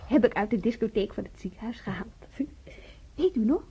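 An actress playing a cheerful, obliging young nurse in a radio drama, chatty and eager. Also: female voice, a little high, expressive, fairly quick, dark tone, husky, full character voice. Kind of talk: naturalistic radio drama acting. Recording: dull, muffled old radio recording.

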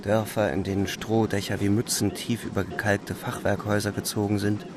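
An actor playing a weary, haunted young soldier, narrating a radio play in brooding, restrained short sentences. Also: male voice, on the low side, flat delivery, brisk, noisy background, natural voice. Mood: brooding, tense. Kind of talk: literary radio play narration, inner monologue.

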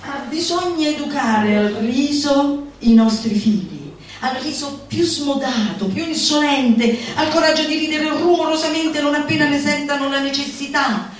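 A woman's voice: high voice, natural voice. An actress as a theatrical female orator in echoing hall. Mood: exalted, gleeful. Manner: declamatory, exclamatory, drawn-out emphatic words. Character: manic, theatrical female futurist orator exalting laughter at suffering. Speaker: stage actress. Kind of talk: theatrical comic monologue before an audience. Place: roomy performance space, recorded from a distance. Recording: echoey hall, far mic, faint noise.

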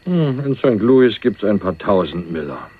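An actor playing a cool, evasive young heir sitting in jail in a German radio crime drama, laconic and dryly ironic. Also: male voice, on the low side, sing-song, unhurried, over loud music. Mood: evasive, wary. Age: young adult. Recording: dull lo-fi radio recording, faint noise.

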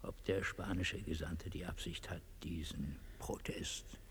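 An actor playing an imperious, coldly courteous Latin American dictator in a radio play, husky, gravelly and formally menacing. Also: male voice, low voice, fairly even intonation, measured pace, fairly bright tone, whispered, exaggerated voice. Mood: grave, calculating. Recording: echoey hall with long reverb.

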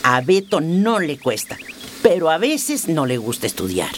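Casting: elderly grandmother, low, very animated voice, fretful and loving, radio drama with background noise. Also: a little slow. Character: worried, affectionate old grandmother running a market stall. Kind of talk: Latin American radio soap acting.

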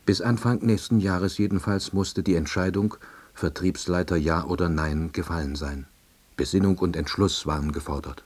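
A man playing a wry, self-deluding office worker who narrates his story in a deadpan, reflective way. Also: sits low, moderate pace, husky. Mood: dry musing. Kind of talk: first-person radio play narration.

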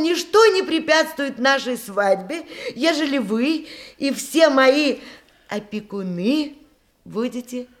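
An actress playing a gentle aunt in a radio period comedy, reading and pleading in a measured, expressive way. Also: female voice, fairly high pitch.

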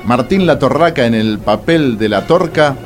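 A man with a Rioplatense Argentine accent narrating a radio drama in a wry, theatrical way, with dramatic pauses. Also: mid-range voice, expressive, faint music behind.

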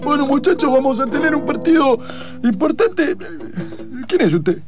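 A man's voice: very high voice, big pitch swings, normal speed, over music. A man with a Rioplatense accent voicing a flustered football coach giving a hesitant, formal team talk in a radio comedy. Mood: flustered, official. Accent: Rioplatense Argentine.

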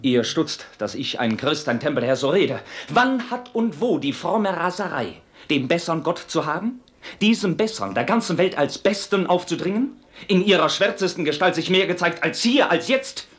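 An actor playing a proud, impassioned young Knight Templar, brusque but honourable, in fervent, formal 1950s radio-play declamation. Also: male voice, medium pitch, moderate pace, few pauses, natural voice. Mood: scornful, fervent.

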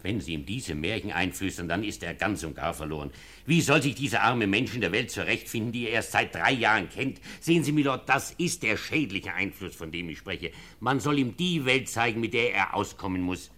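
An actor playing a stern, practical baron in a radio drama, arguing formally and insistently as a protector. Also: male voice, lowish voice, expressive, fairly quick, bright, forceful tone.

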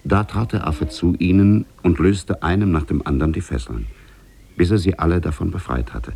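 A very deep-voiced storyteller tells an old oriental tale for radio. His husky, gravelly voice moves through the scripted narration with measured deliberation. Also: a little mellow, exaggerated voice. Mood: narrating. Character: male storyteller of an Arabian Nights tale.

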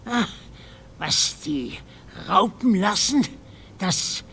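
Figure: man voicing a slow, gravelly, preaching man; rising and falling delivery. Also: sits high, animated, bright, forceful tone, a little hiss or hum, voice pushed up, full character voice. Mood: solemn.

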